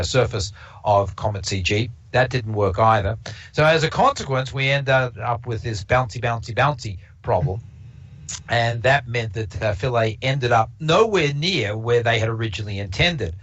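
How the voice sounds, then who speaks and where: A man's voice: medium pitch, a bit bright. A man who speaks English as a non-native language, presenting a casual observatory talk and rambling with many fillers and restarts. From Canada. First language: not English. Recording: slightly dull lo-fi stream audio.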